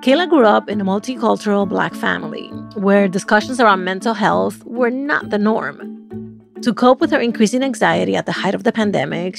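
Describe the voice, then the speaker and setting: A woman host gives a measured, scripted introduction to the episode. Her voice has a slightly gravelly edge, and guitar music plays clearly beneath her. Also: medium pitch. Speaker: podcast host. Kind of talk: scripted narration.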